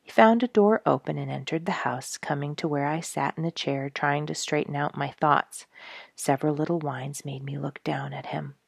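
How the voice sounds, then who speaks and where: A woman reading a story aloud as a host, in measured, expressive storytelling phrases. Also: sits low, vocal fry, husky, dry recording, studio quality. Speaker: story reader, show host. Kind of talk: scripted storybook narration read aloud.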